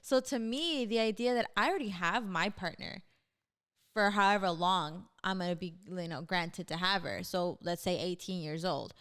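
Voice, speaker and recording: Young woman, smooth clear voice, reflective casual podcast talk. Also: lowish voice, moderate pace, a bit bright. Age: young adult. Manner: reflective, frequent agreeing "absolutely". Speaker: podcast co-host. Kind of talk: casual chat. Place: Houston, Texas.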